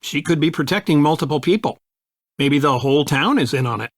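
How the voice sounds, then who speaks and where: A man voicing a middle-aged American lawyer father in a video game, analytical and supportive in a measured way. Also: on the high side, animated, no room sound, clean studio sound. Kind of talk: video game dialogue acting.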